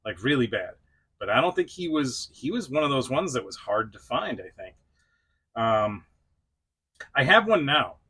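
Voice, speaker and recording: A middle-aged man speaks as the host of a casual video review. His voice is bright and forward, at an ordinary male pitch. He is chatty and self-deprecating, talking directly to his viewers in an informal way. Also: big pitch swings, normal speed, long pauses, slightly rough. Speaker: toy review channel host. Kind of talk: casual vlog-style review to camera.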